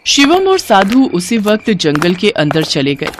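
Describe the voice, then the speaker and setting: Female storyteller, highly varied pitch, dramatic scripted narration, clearly noisy background with insects. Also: huge pitch swings, a little slow.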